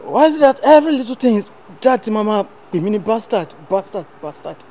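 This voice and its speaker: Casting: a young man as a desperate son, rather high voice, pleading and insistent. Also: sing-song, fast talker, darkish tone. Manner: pleading, repetitive, code-switching. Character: frustrated young adult son who loves music.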